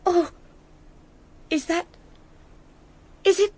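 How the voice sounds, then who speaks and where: An actress playing a plucky, scatterbrained young woman sleuth in a radio drama, with a breathy voice and a theatrical, exclamatory manner. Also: extremely high pitch, extremely slow, dark tone, full character voice. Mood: horrified. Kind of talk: BBC radio drama acting.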